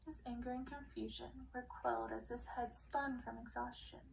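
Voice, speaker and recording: Higher-voiced, creaky woman reading a dark tale. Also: fairly even intonation, normal speed. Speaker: audiobook reader. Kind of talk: ASMR reading of a dark fairy-tale story, breathy and hushed in places. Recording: distant mic with faint noise.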